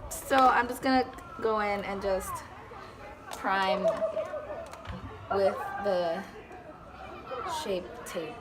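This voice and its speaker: A young American woman with a voice hoarse from being sick, chatting through a casual makeup tutorial in a low-energy, hesitant way. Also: very slow, long pauses. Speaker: makeup vlogger. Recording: very noisy room with background chatter. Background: people and children talking.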